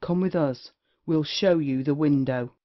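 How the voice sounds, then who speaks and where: A performer playing a witty, lewd, cynical gentleman rake in an audio play of a period comedy. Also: mid-range voice, a little slow, natural voice. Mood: brisk. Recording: dull, lo-fi audio.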